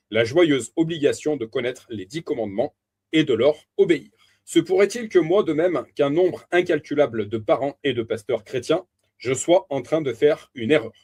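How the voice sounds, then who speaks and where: A man reading a Bible teaching aloud as a preacher, in a measured, deliberate way with emphatic stresses. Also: mid-range voice, warm tone, husky.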